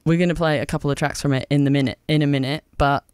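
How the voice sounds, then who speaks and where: A man's voice: on the high side, fairly even intonation, very fast, clear, resonant tone, dry recording. A young man hosting an interview with a band, friendly and upbeat with casual slang, on a close studio mic. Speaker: radio host.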